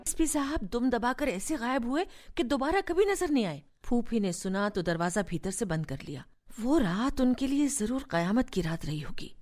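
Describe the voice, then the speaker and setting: An actress playing a woman who recounts her family's past in a radio drama, in measured storytelling style. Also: a little high, big pitch swings, a little mellow. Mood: reminiscing.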